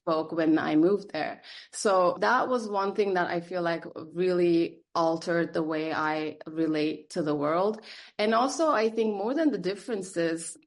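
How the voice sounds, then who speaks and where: A woman's voice: fairly low pitch, unhurried. A woman psychotherapist raised in Germany and Turkey, whose first language is Turkish, answering interview questions thoughtfully with frequent fillers and restarts. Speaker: psychotherapist guest.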